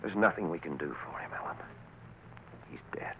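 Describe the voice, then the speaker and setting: An actor playing an anxious but brave scientist in a 1940s radio drama, with an urgent, dramatic delivery. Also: male voice, sits low, normal speed. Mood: grim. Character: anxious brave man, a scientist battling a lab monster. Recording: noisy vintage 1940s radio recording.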